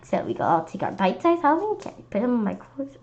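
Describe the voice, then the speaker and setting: A woman narrates a children's storybook in a fairly high voice. The reading is expressive, with words sometimes stumbled over and repeated. The recording sounds slightly dull and lo-fi. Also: racing, slight background noise. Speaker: children's read-aloud host.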